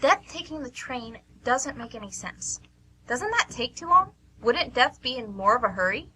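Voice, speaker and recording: A woman's voice: fairly high pitch, lively intonation, normal speed, pushed and bright, slight background noise, low-quality recording. A woman playing a sceptical, questioning train passenger in an amateur radio play. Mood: sceptical, bantering.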